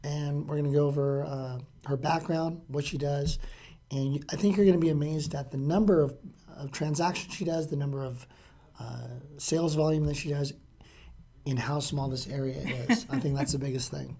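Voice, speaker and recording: A man from California with a hoarse, raspy voice after a lot of talking, chatting casually as he interviews a guest in a real estate office. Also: a little high, fairly even intonation, moderate pace, dark tone. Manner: chatty, with frequent "uh" fillers.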